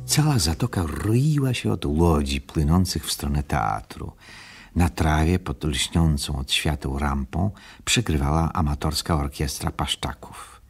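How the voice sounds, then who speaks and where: A man narrating a children's storybook radio play as an unhurried storyteller. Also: rumbling low, expressive, vocal fry, soft background music, heavily acted. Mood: calm narrating.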